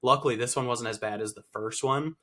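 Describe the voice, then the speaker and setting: A man's voice: mid-range voice, fairly even intonation, fairly quick, fairly bright tone. A man co-hosting a fitness podcast, stuffy and nasal from a cold, chatting casually with lots of fillers and restarts. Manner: rambling, filler-heavy, self-correcting. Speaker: podcast co-host, fitness coach. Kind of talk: casual chat.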